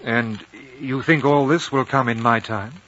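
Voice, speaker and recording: An actor playing a courteous, world-weary war veteran in a 1950s radio drama, polite, earnest and reflective. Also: male voice, mid-range voice, a little slow, fairly bright tone, lightly acted. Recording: dull, noisy old radio recording.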